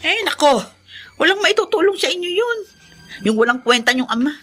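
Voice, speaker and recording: Sharp, high-pitched scolding Filipino mother. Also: big pitch swings, normal speed. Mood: scolding, dismissive. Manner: scolding, dismissive, exclamatory. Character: callous, sharp-tongued mother who spurns her children. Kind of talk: Filipino radio drama acting.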